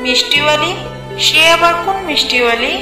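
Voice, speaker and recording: A woman's voice: high-pitched, brisk, natural voice. A woman voicing a distraught, plaintive village mother in a fairy-tale cartoon. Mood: worried, plaintive. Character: distraught village mother searching for her daughter. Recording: echoey, music behind.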